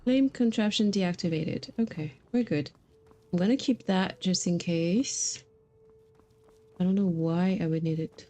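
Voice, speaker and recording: A woman's voice: fairly low pitch, a little slow, warm tone. A woman streamer talking casually and informally while she plays a video game, chatty with her viewers. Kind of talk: casual livestream chat while playing.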